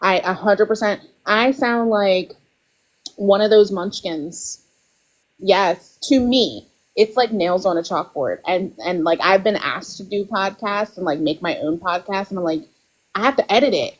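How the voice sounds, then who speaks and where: A woman's voice: mid-range voice, measured pace, poor audio. A woman guest author in casual podcast chat, talking loosely with lots of fillers and frequent laughs. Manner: chatty, laughs often, lots of "like" and "you know".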